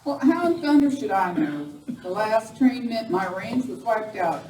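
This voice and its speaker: A woman's voice: a little high, animated, unhurried, darkish tone. A woman playing a feisty, impatient rancher in a live amateur Western radio play, blunt and folksy. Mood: impatient, exasperated. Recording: distant, echoey live room recording, faint noise.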